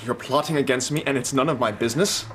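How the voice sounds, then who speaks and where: An actor playing a blunt, urgent young baronet who is both frightened and commanding in a radio drama. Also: male voice, medium pitch, fast talker, fairly bright tone, a little hiss or hum, natural voice. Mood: angry. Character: hot-headed young male baronet, frightened yet commanding.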